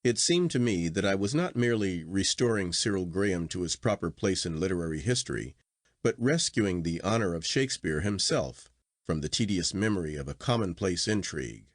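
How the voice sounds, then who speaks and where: Low-pitched man, animated literary narrator. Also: brisk, no echo, clean studio sound. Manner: expressive, dramatic reading. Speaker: audiobook narrator.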